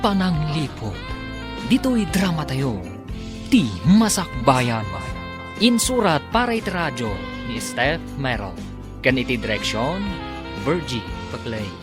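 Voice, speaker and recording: A man announcing a radio drama series, formal and measured in his scripted delivery. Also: medium pitch, very animated. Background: music.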